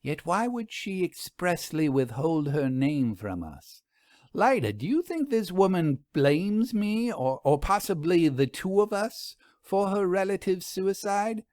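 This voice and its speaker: Man voicing a strong-willed, probing woman detective, pitched high. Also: big pitch swings, unhurried, a little mellow, dry recording, studio quality. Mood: intrigued, suspicious. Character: Vera, strong-willed woman ghost-hunting detective, grudging, inquisitive. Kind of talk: audio storybook character reading.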